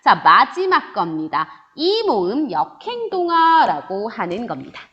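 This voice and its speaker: A woman's voice: a little high, measured pace. A woman teaching a recorded grammar lesson, asking and answering her own questions in a rising and falling teacherly tone. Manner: lively, rising and falling teacherly sing-song, voices student questions herself. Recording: dull lo-fi recording.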